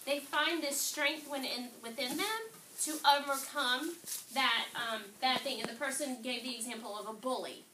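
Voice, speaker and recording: A woman teacher reading aloud and instructing a fifth-grade class, pausing to pose questions to her students. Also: high-pitched, sharp tone. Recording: roomy, distant, noisy classroom recording.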